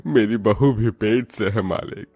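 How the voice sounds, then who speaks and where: A man's voice: on the low side, unhurried, mellow, dry recording, soft background music. A man voicing a poor, helpless old labourer in a cartoon story, resigned and imploring.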